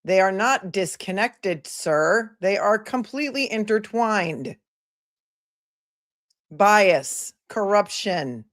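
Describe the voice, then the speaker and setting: A woman's voice: medium pitch, expressive, takes it slowly. A woman hosting a live stream, commenting on a court hearing with sarcastic, indignant remarks and thanking viewers. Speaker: livestream host and commentator.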